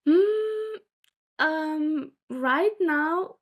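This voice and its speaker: A young woman, a freelance designer talking thoughtfully as an interview guest, with many fillers and pauses. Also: high-pitched, expressive. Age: young adult. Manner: thoughtful, hesitant with fillers and light laughs. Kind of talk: casual interview chat.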